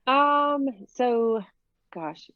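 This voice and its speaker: A woman's voice: high-pitched, expressive, very slow, no echo, narrow, tinny audio. A woman from Minnesota with a Minnesota accent, chatting casually in an interview with many fillers and easy laughs. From Minnesota, USA. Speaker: professional disc golfer, guest.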